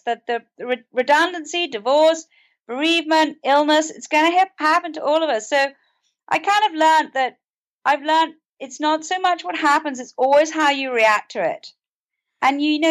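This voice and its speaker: A woman's voice: sits high, normal speed. A British woman speaking conversationally as an interview guest, explaining things in an earnest way. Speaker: podcast guest, author and mental health advocate.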